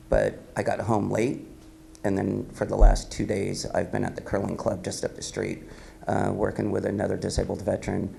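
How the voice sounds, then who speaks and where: A raspy, gravelly-voiced man in his fifties gives a relaxed keynote, loose and upbeat, with plenty of ums. His low voice has a dark, mellow tone. He speaks into a podium mic in an event hall. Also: slight background noise. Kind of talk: casual speech at an awards event. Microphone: podium microphone.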